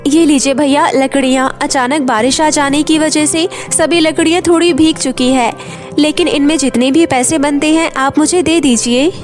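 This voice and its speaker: A woman's voice: sits high, steady tone, normal speed, over music, lightly acted. A woman voicing a poor, caring orphaned elder sister in a cartoon story, earnest and tender. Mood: pleading. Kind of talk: Hindi cartoon moral story.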